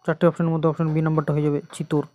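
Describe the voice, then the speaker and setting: Even-toned Indian Bengali exam coaching teacher. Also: medium pitch, rapid-fire. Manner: methodical, repeats questions and answers. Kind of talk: reading quiz questions and answers for an exam lesson.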